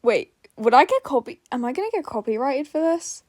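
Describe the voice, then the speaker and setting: A woman's voice: high voice, very animated, brisk, a little mellow, no echo, studio quality. A woman podfic narrator chatting casually to her listeners in an informal, giggly, self-deprecating way.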